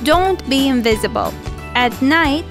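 A woman's voice: high voice, lively intonation, unhurried, over music. A woman reading scripted instructional narration.

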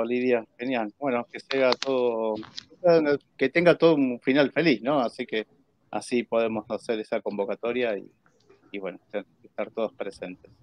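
A middle-aged man with an Argentine accent, hosting a casual interview in a halting, checking way. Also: mid-range voice. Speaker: host. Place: indoor room, on a video call. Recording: dry, slightly dull streamed audio.

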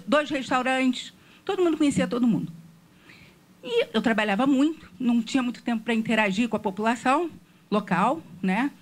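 A woman from Rio de Janeiro with a Rio accent, standing in a meeting room with a handheld microphone and asking a panel an earnest question, telling a story and posing questions. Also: high-pitched. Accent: Rio de Janeiro Portuguese. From Rio de Janeiro, Brazil. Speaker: audience member asking a question. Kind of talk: audience question at a public meeting.

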